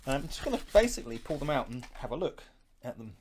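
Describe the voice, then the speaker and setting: A middle-aged man with a medium-pitched voice talks casually and unscripted, as the host of an unboxing. He muses out loud as he goes. There is faint noise and some clicking from handling behind him. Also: very animated, quick pace. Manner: musing, thinking aloud while handling items. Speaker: host of a retro gaming unboxing channel.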